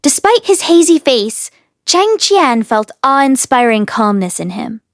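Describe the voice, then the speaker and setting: A woman's voice: high-pitched, sing-song, a little slow, no room sound, clean studio sound. A woman reading a fantasy novel aloud as an audiobook narrator. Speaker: audiobook reader. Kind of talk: expressive storybook narration.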